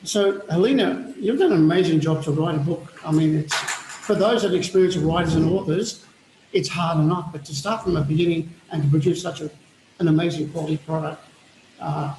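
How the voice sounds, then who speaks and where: A man's voice: on the high side, a little fast, a little mellow, reverberant, a little hiss or hum, rough recording. A man interviewing an author, with encouraging praise and questions. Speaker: interviewer for a historical society.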